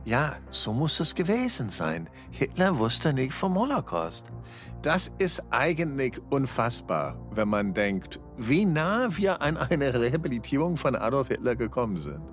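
A man's voice: medium pitch, sing-song, unhurried. A man giving spoken commentary in a conversational podcast interview style. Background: light music bed.

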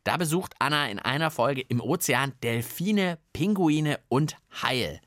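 A man's voice: a little high, lively intonation, unhurried, fairly bright tone, no echo, clean studio sound. A man co-hosting a children's science podcast with chatty explanations. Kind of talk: lively explanatory chat.

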